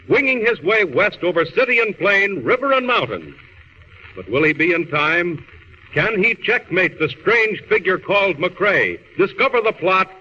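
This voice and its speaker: Urgent, dramatic American radio announcer, bright and swooping, declamatory 1940s serial style, dull sound. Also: male voice, sits high, slight background noise, exaggerated voice. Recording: muffled, reverberant old radio recording.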